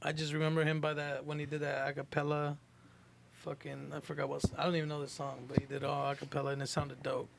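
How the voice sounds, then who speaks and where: A man's voice: fairly high pitch, completely flat delivery, quick pace, vocal fry, slight background noise. A man chatting casually on a podcast in slangy, profane, unpretentious speech. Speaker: podcast panelist. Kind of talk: casual group chat.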